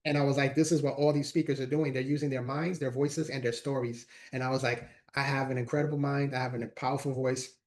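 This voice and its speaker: A man's voice: mid-range voice, level tone, fast, few pauses. A man in his forties, a guest speaker telling his story in an earnest, repetitive way in a casual interview. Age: 40s. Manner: earnest, repeats words while talking. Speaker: guest, motivational speaker and author.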